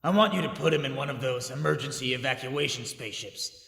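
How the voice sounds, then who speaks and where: A man's voice: medium pitch, quick pace, gravelly, fairly bright tone. An actor playing a callous, commanding father who scorns his own son, in an audio drama. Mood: contemptuous. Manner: harsh, contemptuous. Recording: echoey hall heard from far off.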